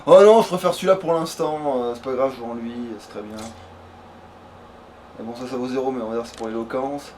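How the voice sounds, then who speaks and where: A man's voice: medium pitch, fairly quick, darkish tone. A man giving casual let's play commentary, thinking aloud in slangy, crude language. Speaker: let's player. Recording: faint background noise.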